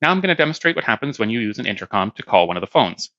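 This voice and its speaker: A man's voice: mid-range voice, big pitch swings, racing, fairly bright tone, no echo, dull audio. A man giving a hands-on product demonstration, explaining plainly step by step.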